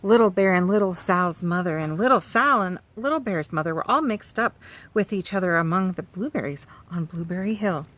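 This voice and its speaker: A woman reading a children's picture book aloud on a farm, gently pausing to point out the pictures to young listeners. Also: lowish voice, lively intonation. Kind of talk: storybook read-aloud for children.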